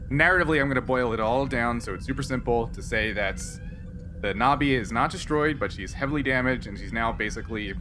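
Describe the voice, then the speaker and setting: A man's voice: mid-range voice, quick pace, noisy background. A man acting as game master, narrating and refereeing a tabletop game in a casual, sometimes profane way.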